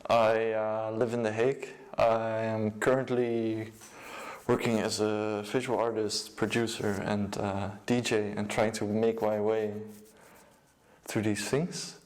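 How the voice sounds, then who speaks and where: A young man with a Dutch accent, a music producer and DJ, giving a casual, low-key account of his work. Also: flat delivery, takes it slowly, darkish tone. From Netherlands.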